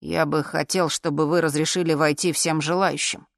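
A woman's voice: low-pitched, steady tone, moderate pace, gravelly, no echo. A brash male bar musician, as a female storyteller puts him on in an audiobook. The delivery is insistent and slangy, full of earnest pleading and boyish pride, in a dry recording. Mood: earnest, aggrieved.

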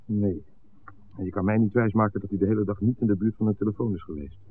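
Worried mechanic, fairly low voice, insistent, old radio drama, phone-like band. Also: male voice, quick pace, breathy edge. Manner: uneasy, insistent. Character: Tom, anxious garage worker. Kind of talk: 1960s Dutch radio drama acting. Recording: narrowband vintage radio, faint noise.

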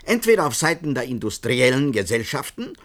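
An actor playing a suspicious theorist in a German science-fiction radio drama, arguing and speculating deliberately. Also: male voice, medium pitch, very animated, a bit bright. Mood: speculative, grave. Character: suspicious male theorist of a small conspiratorial circle.

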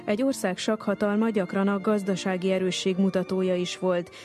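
A woman narrating an archive radio documentary, reading in a steady, even way. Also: medium pitch, soft background music.

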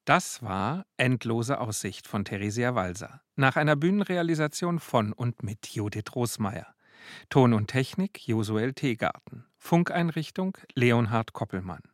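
A man's voice: medium pitch, expressive, measured pace, no echo, studio quality. A man reading a scripted radio closing announcement. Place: dry radio studio.

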